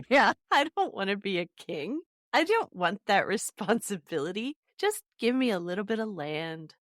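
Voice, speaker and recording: A woman's voice: medium pitch, sing-song, moderate pace, fairly bright tone, dry recording, studio quality. A woman hosting a podcast, chatting casually about a story and steering the discussion.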